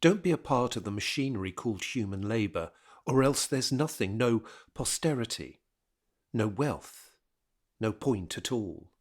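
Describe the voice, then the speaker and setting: A man narrating a scripted period drama in a measured, rhetorical manner. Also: fairly low pitch, animated.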